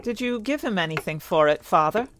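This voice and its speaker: A woman's voice: mid-range voice, animated, fairly quick, noisy background, close to an everyday voice. Curious, lilting wife and mother played by a woman. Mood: coaxing. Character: curious, brisk, gently teasing wife and mother. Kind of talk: audio drama horror acting.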